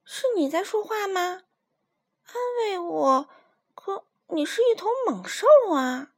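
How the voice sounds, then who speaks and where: A woman voicing a mischievous little circus girl who pleads in a high, bouncy voice. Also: big pitch swings, normal speed, a bit bright. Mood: pleading.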